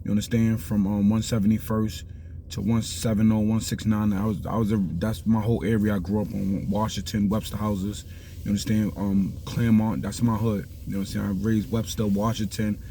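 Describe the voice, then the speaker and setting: A middle-aged man with a Bronx accent talks in a loose, rambling street style and keeps checking that you follow him. His voice is mellow and dark. There is a faint hiss underneath. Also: lowish voice, flat delivery, normal speed. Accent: New York (Bronx). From South Bronx, New York. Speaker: vlogger giving life advice. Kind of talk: casual street talk.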